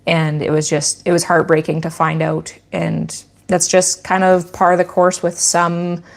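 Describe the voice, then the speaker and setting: Low-voiced Canadian woman chatting on a livestream. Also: monotone, measured pace, gravelly. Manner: chatty, explanatory, teacherly; rambling with frequent restarts. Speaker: goat farmer and channel host. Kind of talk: casual livestream chat and Q&A.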